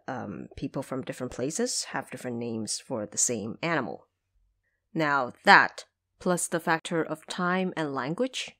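Female host for scripted explanatory narration: low register, very lively intonation. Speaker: host of production notes for an audio drama series.

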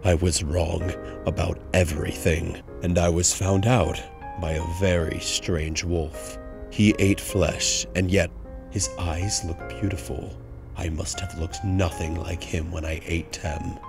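A man voicing a grim, remorseful murderer bear in a solemn, slow dramatic manga dub monologue. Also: sits low, little pitch movement, vocal fry, music in the background, heavily acted. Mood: haunted, solemn.

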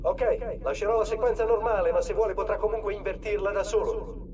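A man's voice: sits high, fairly even intonation, fairly quick, crowd noise, close to an everyday voice. A male actor playing an attentive, methodical military systems technician in a video game, speaking with respectful procedural formality. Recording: dull band-limited sound, clearly noisy background.

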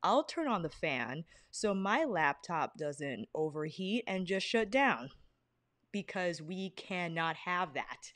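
A young woman hosting a casual beauty livestream from her apartment, bubbly and chatty, greeting viewers by name with bursts of excitement. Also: on the low side, takes it slowly, dry recording, studio quality. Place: apartment living room, fan humming. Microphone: desk podcast mic in front.

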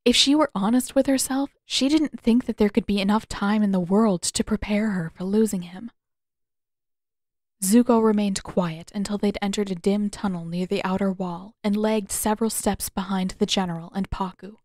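A woman reading scripted fiction aloud as a narrator, with expressive, dramatic delivery. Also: mid-range voice, normal speed, no echo, studio quality. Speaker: audiobook narrator of fan fiction.

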